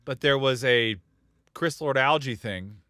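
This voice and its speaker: A man's voice: mid-range voice, slow pace, bright, forceful tone, no room sound, clean studio sound. Blunt, rambling male music producer. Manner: rambling, blunt, self-mocking with frequent chuckles. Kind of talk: casual studio chat on a live stream.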